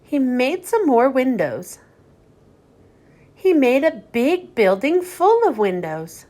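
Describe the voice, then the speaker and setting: A woman reading a children's picture book aloud in a slow, gentle storytelling manner. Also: high-pitched. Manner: slow, gentle storytelling, lively rise and fall.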